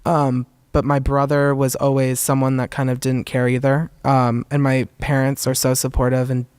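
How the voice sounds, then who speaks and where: Young man with a smooth, soft voice. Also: medium pitch, monotone, moderate pace, no room sound, clean studio sound. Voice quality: describes his own voice as feminine. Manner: earnest, many "um"s and "kind of", laughs often. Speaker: guest, photographer and zine curator. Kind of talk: onstage interview. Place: theater stage.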